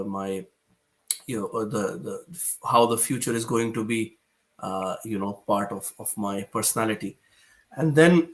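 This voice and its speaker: A man from Pakistan, a guest author answering interview questions hesitantly, often pausing and restarting. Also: mid-range voice, fairly bright tone. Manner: hesitant, with frequent "uh" fillers and restarts.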